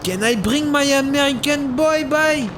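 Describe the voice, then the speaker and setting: Friendly philosophical cabbie, high-pitched, English, radio drama, noisy car ambience. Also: male voice, measured pace. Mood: helpful. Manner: non-native English, earnest, gently musing. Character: friendly, philosophical foreign taxi driver.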